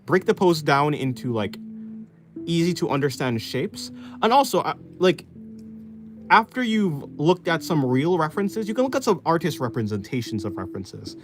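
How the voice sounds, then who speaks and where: Man explaining art over music, lively pitch. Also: normal speed. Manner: rambling, restarts explanations often. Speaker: artist streamer. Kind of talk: casual live-stream chat while drawing.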